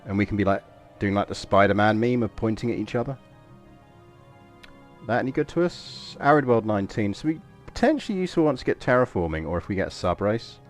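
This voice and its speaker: Low-voiced English man rambling on stream. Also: big pitch swings, quick pace, faint music behind. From England. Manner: rambling, chatty, self-deprecating. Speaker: game streamer. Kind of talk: casual live gameplay commentary.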